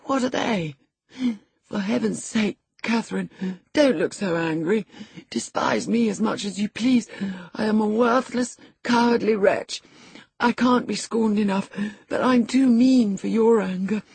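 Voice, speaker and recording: A woman voicing a sickly, cowardly teenage boy in an audiobook, self-pitying, pleading and halting with anguish. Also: mid-range voice, gravelly, a little mellow, slightly rough, no echo, voice pushed up, exaggerated voice. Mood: wretched, pleading. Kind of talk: audiobook character acting.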